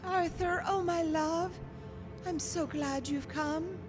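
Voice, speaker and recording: Frail, frightened young gothic heroine in radio horror, drawn-out melodramatic lines over heavy music. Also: female voice, very high voice, steady tone. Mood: yearning. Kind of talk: old-time radio horror drama acting. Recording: live radio play under loud music.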